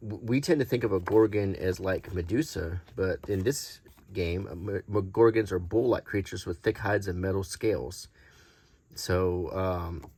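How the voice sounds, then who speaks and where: A man hosting an unscripted, ASMR-like show-and-tell in a halting, casual way. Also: low-pitched, a little mellow, breathy edge, no echo. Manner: halting, unscripted, hesitant delivery. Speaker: host.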